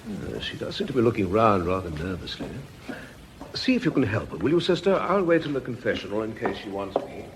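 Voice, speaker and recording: Genial priest, practical, expressive intonation, radio play, noticeably noisy old recording. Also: male voice, lowish voice, fairly quick. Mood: brisk, mild. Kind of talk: British radio drama acting.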